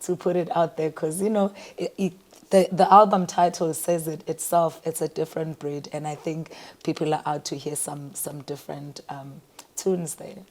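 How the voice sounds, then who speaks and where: A South African woman with a South African accent, a singer answering interview questions in an animated way and often repeating words as she thinks. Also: deep voice, moderate pace. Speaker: guest singer and entrepreneur.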